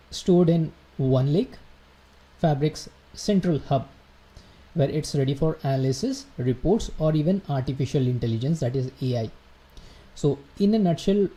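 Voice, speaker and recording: A man's voice: a little high, dark tone, low-quality recording. A man giving a live online technical lesson, explaining step by step with frequent fillers and restarts and checking in with his viewers. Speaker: livestream instructor. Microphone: computer mic, quiet at first then turned up.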